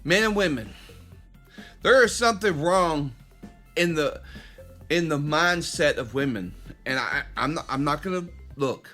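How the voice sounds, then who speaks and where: Fired-up man, bright and sharp. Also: a little high, animated, a little slow, pauses often, faint music behind. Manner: impassioned, preachy, addresses listeners directly, frequent restarts. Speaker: podcast host. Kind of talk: opinionated monologue.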